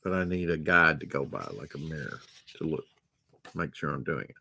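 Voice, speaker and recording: A man's voice: rumbling low, lively intonation, moderate pace, creaky, bright, forceful tone, slight background noise. A man hosting a late-night storytime, reading a storybook aloud and breaking off into rambling, sarcastic asides. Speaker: storytime host.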